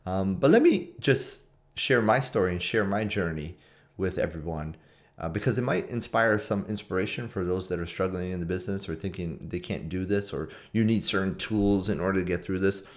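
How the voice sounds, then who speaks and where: A Canadian man in his late thirties speaks in a deep register. He tells a personal story in an informal, conversational way, often repeating a phrase to drive it home. It has the feel of a video host talking straight to his followers from a room at home. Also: moderate pace. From Toronto, Canada. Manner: informal, conversational, with repetition for emphasis. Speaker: trader and trading community video host. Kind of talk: casual personal storytelling to camera.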